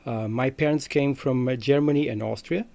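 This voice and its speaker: A middle-aged man telling his story as an interview guest, in halting English. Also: medium pitch, vocal fry, dark tone. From Brazil. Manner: halting, non-native phrasing. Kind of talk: conversational personal storytelling.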